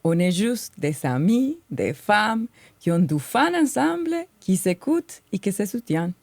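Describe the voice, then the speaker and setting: A woman's voice: medium pitch, big pitch swings, measured pace, vocal fry, a little mellow, no echo. A woman in her forties from Colombia, with a light Colombian Spanish accent, co-hosting a podcast and speaking earnestly from prepared notes. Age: 40s. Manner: earnest and heartfelt. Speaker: podcast co-host.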